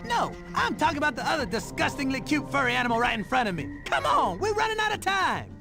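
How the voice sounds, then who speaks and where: Sassy zebra hero, very high male voice, swooping animated delivery, impatient sarcasm, game cartoon, loud music behind. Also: fast. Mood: sarcastic, impatient. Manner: sassy, streetwise, exasperated. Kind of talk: animated video game voice acting.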